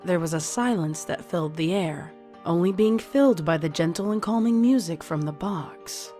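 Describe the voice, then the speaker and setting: A woman's voice: on the low side, lively intonation, moderate pace. A woman reading scripted audiobook narration as the storyteller. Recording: music playing behind the voice. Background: soft music.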